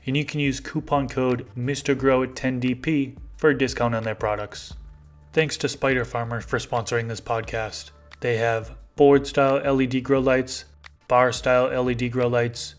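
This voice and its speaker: A man in his thirties hosting a podcast, his voice a little raspy. He reads sponsor lists in a steady way and chats casually with his guest. He speaks close on a studio microphone at home, with faint music underneath. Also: mid-range voice. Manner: steady, list-reading delivery. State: slightly raspy voice. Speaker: podcast host. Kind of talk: read sponsor ads, then casual interview. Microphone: studio microphone on arm.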